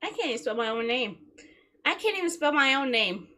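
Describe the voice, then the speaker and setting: A woman's voice: high voice, big pitch swings, brisk, bright, forceful tone. A woman hosting a video game livestream, chatting casually with viewers in a playful, giggly way. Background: music.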